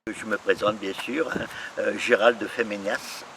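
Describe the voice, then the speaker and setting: Older man from algeria, low bright voice, hesitant testimony, distant mic. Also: steady tone, moderate pace, slight background noise. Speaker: association treasurer. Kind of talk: recorded testimony.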